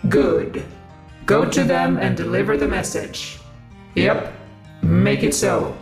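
A man playing a pompous, distracted deity in a comedic audio drama, speaking grandly and haltingly. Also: high voice, gravelly, heavily acted. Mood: commanding. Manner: grandiose, slow and deliberate, halting. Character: pompous, distracted male deity issuing decrees. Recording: echoing, music underneath.

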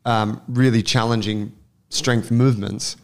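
A man's voice: mid-range voice, steady tone, a little slow. A man co-hosting a casual podcast, blunt and wry, swearing casually. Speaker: podcast co-host.

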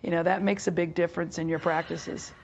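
A middle-aged woman basketball coach with a hoarse, raspy voice, answering interview questions over a video call with many halting fillers. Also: lowish voice, little pitch movement, muffled recording. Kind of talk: remote press interview. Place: office with team logo backdrop.

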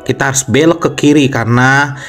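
Male travel vlogger, casual and chatty, mid-pitched voice, music underneath. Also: fairly quick, a bit bright. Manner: chatty, repeatedly addresses the viewer as bro. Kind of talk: casual road trip narration.

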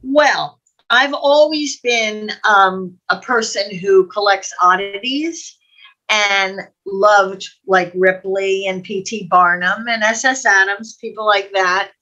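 Older woman, bright forceful voice. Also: mid-range voice, takes it slowly, rough recording. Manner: chatty, digressive, quotes people as she tells stories. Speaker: guest storyteller. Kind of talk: casual anecdotal storytelling in a video chat. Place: home room, indoors. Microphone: video call.

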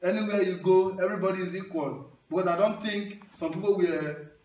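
A young Nigerian man with a Nigerian accent performing stand-up, telling stories loudly and breaking into singing and shouting. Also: high-pitched, a little fast. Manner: loud animated storyteller, sings and shouts punchlines. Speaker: stand-up comedian. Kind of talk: stand-up storytelling. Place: small indoor hall with wood panelling. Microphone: handheld mic, filmed from across the room. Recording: echoey room from far off.

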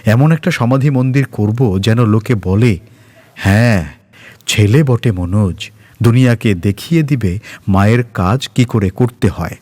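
A man's voice: lowish voice, measured pace, a little mellow. A man voicing a grieving, dutiful master who speaks in a commanding way to his servant, in a story reading. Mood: determined muttering. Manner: commanding, muttering to himself at times.

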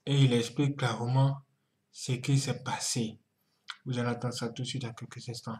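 A middle-aged man hosts a reaction video in a mid-pitched voice. He talks dramatically, breaking into exclamations and repeating his phrases for emphasis. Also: little pitch movement, normal speed, a little mellow. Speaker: YouTube host. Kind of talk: animated reaction commentary.

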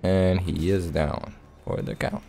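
Low-voiced, mellow man commentating a game. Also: fairly even intonation, slow pace, gravelly, soft background music. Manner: laid-back, narrating own moves in short phrases. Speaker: let's play host. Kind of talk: casual gameplay commentary.

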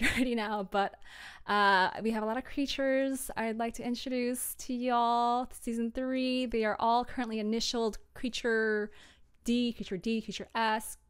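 Higher-pitched young woman with a clear voice. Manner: scattered, self-interrupting, giggly, informal. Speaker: audio drama producer and livestreamer. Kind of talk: casual livestream chat, answering viewer questions.

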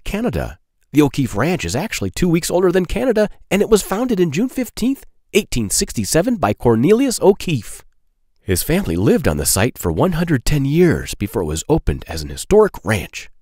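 Man reading storytime with very animated pitch. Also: dry recording, studio quality. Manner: simple, clearly phrased address to children. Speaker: host of a children's read-aloud show. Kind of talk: storytime read-aloud for young children.

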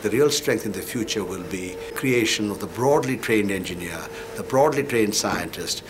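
An older male professor answers in an interview with a deep voice. There is clear background noise in the room. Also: animated, moderate pace. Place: book-lined office.

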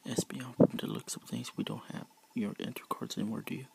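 A man's voice: medium pitch, fairly even intonation, brisk, gravelly, dark tone, slightly rough, full character voice. A man whispering the part of a worried, devoted male fox creature in a read-aloud story. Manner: whispered, fretful and tender.

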